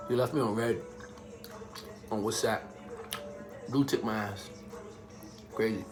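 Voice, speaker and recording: A man's voice: on the low side, fairly even intonation, slow pace. Laid-back young man over music. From Bahamas. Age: young adult. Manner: laid-back, joking, streetwise slang. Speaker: vlogger answering viewer questions. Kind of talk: casual slangy Q&A chat.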